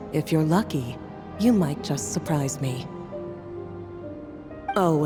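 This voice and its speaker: A woman voicing a poised, superior alchemist master in a video game, measured and formal with a teasing condescension. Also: sits low. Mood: playful, teasing. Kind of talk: video game voice acting, delivering a message. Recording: long reverb over music.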